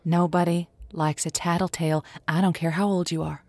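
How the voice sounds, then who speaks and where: A woman's voice: lowish voice, flat delivery, fairly quick, a little mellow, no room sound, natural voice. An actress playing an earnest, folksy woman who hosts a radio dating-advice show, chatty and playful. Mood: excited, bantering. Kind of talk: audio drama acting as late-night radio host.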